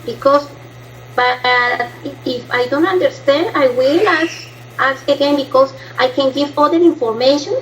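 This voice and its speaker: A woman student speaking in a class presentation, hesitant and halting, with many fillers and restarts in learner's English. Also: sits high, fairly bright tone. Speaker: language student. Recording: dull lo-fi class recording.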